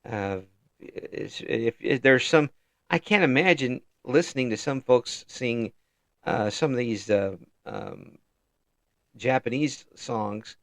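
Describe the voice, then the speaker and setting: A man with a gravelly voice hosts a relaxed interview, circling through fillers and restarts as he works toward his point. He chuckles often between thoughts. The sound is dry and close. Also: mid-range voice, slow pace, dry recording. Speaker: interview host. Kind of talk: casual interview chat.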